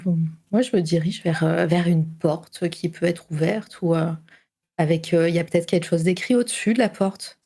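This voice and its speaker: A woman chatting as a player in a tabletop role-playing game, describing her character in a lively way. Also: lowish voice, moderate pace, breathy edge. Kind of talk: casual tabletop play.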